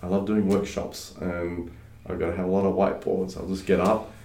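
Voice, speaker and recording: A low-voiced man from Australia whose first language is French, speaking as an interview guest. He talks in a relaxed way, with many fillers and restarts. The room around him adds a noticeable echo. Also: brisk. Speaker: guest, startup advisor. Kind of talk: casual interview conversation. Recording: roomy echo.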